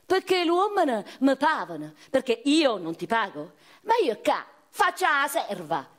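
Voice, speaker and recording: A woman's voice: high voice, very animated, a little slow, voice pushed up, full character voice. A woman voicing a coarse, fatalistic Neapolitan streetwalker in earthy, mocking dialect for a radio play. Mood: brash, mocking.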